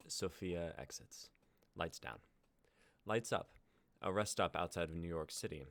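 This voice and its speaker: A man's voice: low-pitched, unhurried, a bit bright, no echo. A young man reading stage directions as scripted narration. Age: young adult.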